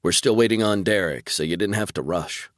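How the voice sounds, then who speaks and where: A man's voice: sits low, a little fast, no room sound, clean studio sound, close to an everyday voice. A man voicing a wry, weary male space fleet officer in a sci-fi audiobook. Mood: measured. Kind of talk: audiobook character dialogue.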